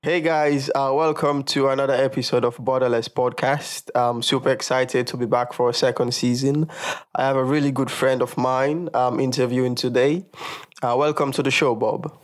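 A young man from Sierra Leone hosting a podcast, talking in a friendly, relaxed way with lots of fillers. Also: medium pitch, moderate pace. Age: young adult. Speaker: podcast host. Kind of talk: casual podcast interview.

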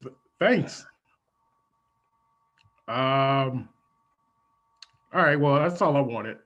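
Woman doing a very low-voiced, scornful wife. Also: extremely slow, heavily acted. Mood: disdainful. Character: curt, scornful, berating wife. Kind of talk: improvised radio play comedy.

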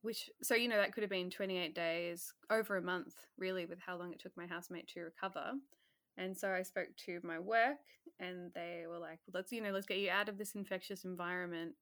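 A woman, a healthcare worker telling her story in a casual, animated interview and acting out her own earlier remarks. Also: fairly low pitch, fairly quick, dry recording, studio quality.

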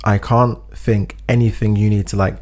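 A man's voice: fairly low pitch, level tone, normal speed, darkish tone. A man co-hosting a casual chat, giving earnest advice and acting out example speeches, a bit phlegmy. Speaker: podcast co-host giving advice.

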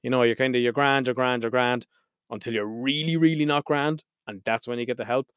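A man's voice: mid-range voice, fairly even intonation, brisk, a bit bright, clear, resonant tone, dry recording, studio quality. A man hosting a mental health podcast, speaking frankly and swearing freely, a little nasal and stuffed up from a head cold. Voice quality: slightly nasal. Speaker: podcast host. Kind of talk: casual solo podcast intro.

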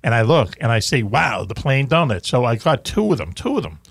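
Middle-aged Boston host, rambling, jokey, dry close sound. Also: male voice, mid-range voice, big pitch swings, brisk, gravelly, husky, dry recording. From Boston area, USA. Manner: rambling, joking, swears casually. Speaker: radio talk show host. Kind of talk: casual banter.

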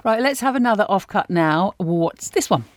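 A woman's voice: mid-range voice, animated, moderate pace. A woman hosting an interview, asking questions in a conversational way. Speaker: interviewer, host.

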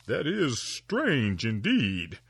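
A man voicing a wary second stranger who speaks in a slow, measured way. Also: mid-range voice, wildly swooping pitch, vocal fry, fairly bright tone, breathy edge, no echo, full character voice.

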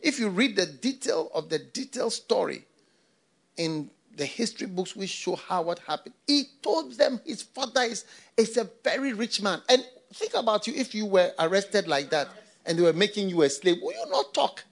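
Animated man preaching a sermon. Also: high-pitched, normal speed, gravelly. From Ghana. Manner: preaching with repetition and rhetorical questions. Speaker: pastor.

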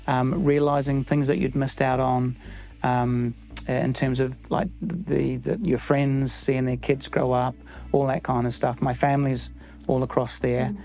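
A middle-aged man from New Zealand with a New Zealand accent, answering interview questions in a halting way with many fillers and restarts. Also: medium pitch, level tone, creaky, a little mellow. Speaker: church member.

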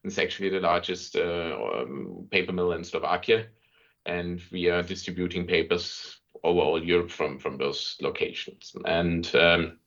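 A middle-aged man with a German accent, a native German speaker, answering business interview questions in halting phrases. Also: low-pitched, steady tone, fairly bright tone, highs cut off. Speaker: interview guest, marketing and sales director.

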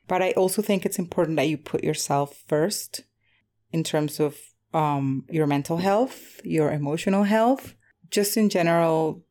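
A woman's voice: low voice, mellow. A woman podcast host talking casually and directly to her listeners in a personal monologue.

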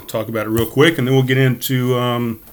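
A man hosting a casual podcast from his home studio, talking with a big, projecting voice and a rambling, relaxed flow. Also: medium pitch, a little fast, very noisy. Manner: loud, projecting voice. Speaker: podcast host. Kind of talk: casual chat. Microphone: studio mic on a board.

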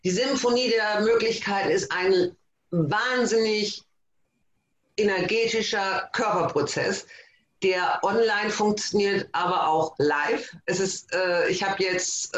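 A man from East Frisia, a guest in a casual interview, talking in an excited, bubbly way. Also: sits high, measured pace, roomy sound, muffled recording. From East Frisia, Germany. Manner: excited, bubbly, self-described as overexcited. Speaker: guest, course facilitator.

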